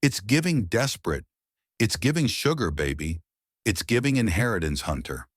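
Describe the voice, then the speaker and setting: A man voicing a spoiled young female influencer in a narrated story, breathy, gloating and slangy. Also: on the low side, very animated, moderate pace, no room sound, clean studio sound, pitched above the performer's own voice. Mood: gleeful, mean.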